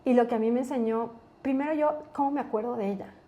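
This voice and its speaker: A woman's voice: a little high, quick pace. Ecuadorian woman telling family stories. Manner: relaxed, anecdotal, thinks aloud. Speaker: guest, company executive. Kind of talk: casual interview storytelling.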